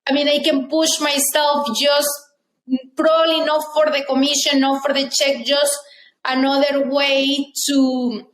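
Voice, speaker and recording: A woman's voice: sits high, flat delivery, measured pace. A woman in her forties from Mexico with a Mexican Spanish accent, a native Spanish speaker, talking earnestly as a guest in a casual interview. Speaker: guest, real estate agent. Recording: echoey room with faint noise.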